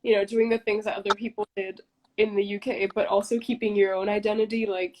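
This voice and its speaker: A woman's voice: mid-range voice, fairly quick, slight background noise, poor audio. Young woman guest on a video call. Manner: relaxed, reflective, lots of "like" and "you know". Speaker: interview guest. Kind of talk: casual interview chat.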